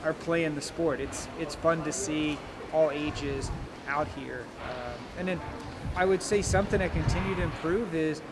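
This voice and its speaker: A man's voice: fairly high pitch, unhurried. Man coaching, noisy outdoor street. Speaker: college golf coach. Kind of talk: interview answers.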